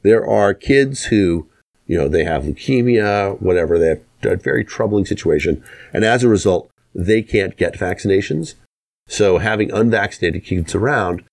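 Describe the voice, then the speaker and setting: A man's voice: low-pitched, lively intonation, moderate pace, gravelly, a little mellow. A man hosting an opinionated radio talk show, making his points with repetition and rhetorical questions.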